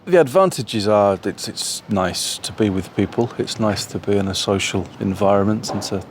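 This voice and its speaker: A man with a very deep voice describes office life in a calm, measured interview. He pauses often on "um" between points. Street noise and passing vehicles are clearly heard behind him. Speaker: office worker. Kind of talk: unscripted interview answer.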